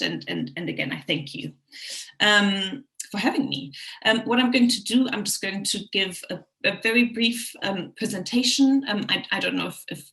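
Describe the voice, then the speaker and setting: A woman's voice: on the low side, creaky, sharp tone, rough recording. A woman in her thirties from Germany and France, giving an academic presentation on a video call, hesitantly repeating words as she goes. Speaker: academic researcher, keynote speaker. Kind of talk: conference presentation. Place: small room at home with bookshelves.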